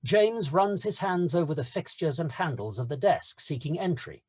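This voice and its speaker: Lively, husky-voiced male narrator. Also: on the high side, moderate pace. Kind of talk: scripted screenplay narration.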